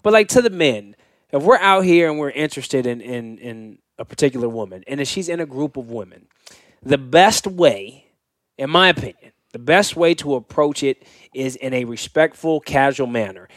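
A young man chatting casually as a podcast co-host, giving dating advice and acting out sample dialogue in an animated way. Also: mid-range voice, moderate pace, no echo, studio quality.

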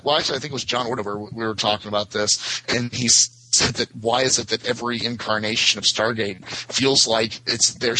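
A man's voice: lowish voice, pushed and bright, rough recording. A middle-aged man chatting casually as a podcast guest in a rambling, stop-and-start way. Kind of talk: casual chat. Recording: faint background noise.

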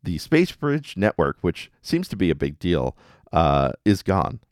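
A man's voice: low-pitched, big pitch swings, measured pace, no echo, studio quality. A man hosting a podcast, casually recapping episodes with wry, jokey asides. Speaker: podcast host.

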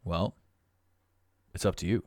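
A middle-aged man with a raspy voice, hosting a podcast with a blunt, preachy motivational monologue. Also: unhurried, darkish tone, no echo, studio quality. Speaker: podcast host.

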